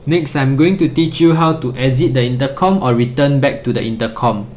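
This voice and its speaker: A man's voice: medium pitch, fairly quick, darkish tone. A man presenting an instructional tutorial in a methodical, step-by-step way.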